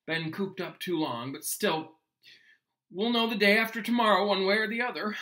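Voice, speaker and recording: A man's voice: high-pitched, normal speed, sharp tone, pitched above the performer's own voice. A man voicing a kindly, worried big gamekeeper in a storybook, speaking in a rustic, confiding way.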